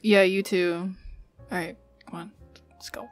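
A woman acts out a chatty young woman on a dinner date in a girlfriend ASMR roleplay. She is teasing and informal, with a slightly bossy streak and a slow, easy pace. Soft music plays faintly behind her. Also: fairly low pitch, steady tone, close to an everyday voice. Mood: playful. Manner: informal, teasing, giggly, swearing. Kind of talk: casual girlfriend roleplay ASMR, one side of a conversation.

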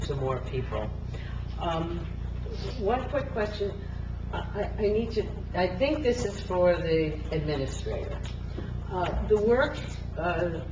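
A male council member making halting, insistent remarks at a public meeting, often repeating words. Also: on the high side. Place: indoor council chamber. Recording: distant mic, echoey, muffled, noisy room.

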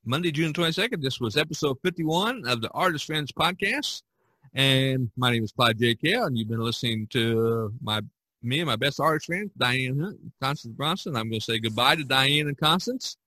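A man hosting a podcast in a casual chat, speaking in a halting, folksy and encouraging way. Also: medium pitch, expressive, bright, forceful tone, no echo. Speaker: podcast host.

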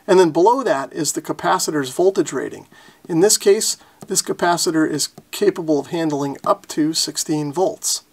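A man narrates a hands-on tutorial. His voice sits slightly higher than most men's. He explains each step in a plainspoken, methodical way. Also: moderate pace. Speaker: host of a radio repair tutorial.

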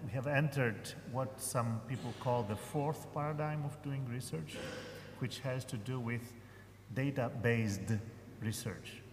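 A man speaking as a professor on a conference panel, hesitant and full of fillers and restarts. His voice rings out with the long reverb of a large hall, with a faint hum of room noise underneath. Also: mid-range voice.

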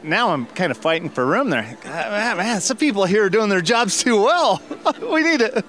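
A middle-aged man answering an interviewer's questions in a theater lobby, earnest and a little halting. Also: sits high, big pitch swings, fairly bright tone. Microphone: handheld mic held by interviewer.

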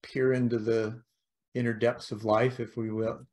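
A man's voice: mid-range voice, little pitch movement, measured pace, darkish tone, a little hiss or hum. A middle-aged man hosting a podcast, asking long rambling questions over a video call. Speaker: podcast host. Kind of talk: casual interview chat.

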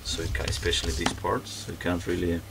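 Low gravelly man talking while tinkering. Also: monotone, fast. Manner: hesitant, many ums and restarts while working. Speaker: repair video host. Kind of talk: casual hands-on repair commentary. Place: workbench, indoors. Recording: distant mic with background noise.

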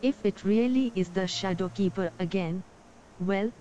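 A woman voicing a small, resolute young dwarf healer in a fantasy game, measured and earnest. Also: mid-range voice, mellow, lo-fi sound, faint music behind, natural voice. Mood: firm. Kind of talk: dramatic video game dubbing.

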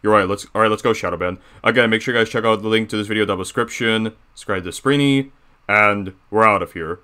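A man's voice: on the low side, quick pace, pushed and bright, clean tone. A man voicing a boastful, bossy cartoon bear boss in rambling, self-satisfied improvised banter. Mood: smug, showy. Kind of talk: improvised character reaction banter.

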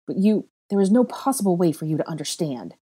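A woman's voice: fairly low pitch, big pitch swings, brisk, mellow, husky. A woman reading a shaken, stammering teenage wizard boy. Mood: defensive. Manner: stammering, defensive.

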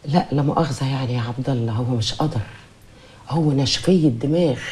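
A woman's voice: on the low side, darkish tone, lightly acted. An actress playing a devoted, fussing and outspoken woman with an Egyptian accent in a TV drama. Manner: coaxing, nagging, emphatic. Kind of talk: TV drama acting.

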